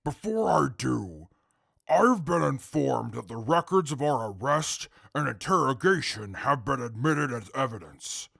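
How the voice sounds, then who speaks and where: An actor playing a calm, articulate male super mutant who testifies in court in a formal, measured way with a gravelly voice. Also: medium pitch, no echo, clean studio sound, heavily acted. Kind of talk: audio drama acting.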